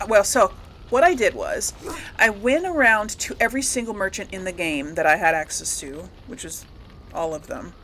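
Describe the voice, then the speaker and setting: A woman's voice: medium pitch, fairly bright tone, a little hiss or hum, low-quality recording. A woman giving casual, rambling let's-play commentary to viewers, thinking aloud as she goes. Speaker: gaming video host.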